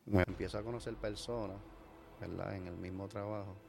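A Puerto Rican man telling his life story as an interview guest, halting with many fillers and restarts. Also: lowish voice, fairly even intonation, vocal fry, slight background noise. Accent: Puerto Rican Spanish. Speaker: guest giving a testimony. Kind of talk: hesitant conversational storytelling.

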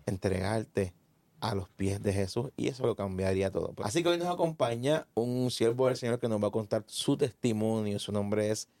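A man with a somewhat low voice hosts a faith podcast. He prompts his guest in an encouraging way and fills in the words the guest is looking for. The sound is dry and close. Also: moderate pace, warm tone, no echo. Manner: encouraging, finishes the guest's sentences. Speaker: podcast host and interviewer.

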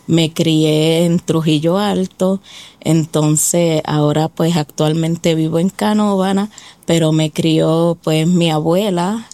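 A woman's voice: deep voice, steady tone, slow pace, warm tone, dry recording. A woman with a Puerto Rican accent telling her life story as a guest, in a plain, hesitant way. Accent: Puerto Rican Spanish. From Puerto Rico. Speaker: interview guest. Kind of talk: personal testimony.

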